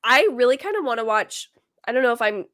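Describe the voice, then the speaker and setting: A woman's voice: a little high, very animated, fast talker, fairly bright tone. Chatty young woman with a stuffy cold. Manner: chatty, with many fillers like "like". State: slightly hoarse, congested from a cold. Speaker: livestream host. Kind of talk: casual chat.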